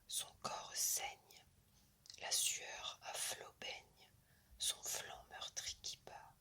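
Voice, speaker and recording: Female ASMR poetry reader: fully whispered, very bright and sibilant, deliberate short phrases. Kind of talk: whispered ASMR reading of classic verse.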